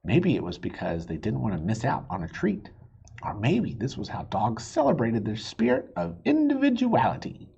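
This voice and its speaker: A man reading a children's story aloud in a playful, expressive way, with a husky voice. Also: medium pitch, moderate pace, muffled recording. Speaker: storybook reader.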